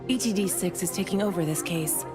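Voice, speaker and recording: An actress plays a composed female bureau officer in an anime game. Her voice sits a little low, and her delivery is formal, courteous and businesslike. Music is clearly audible behind her. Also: brisk, lightly acted. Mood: polite, businesslike. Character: polite, composed young woman bureau officer giving briefings. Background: background music.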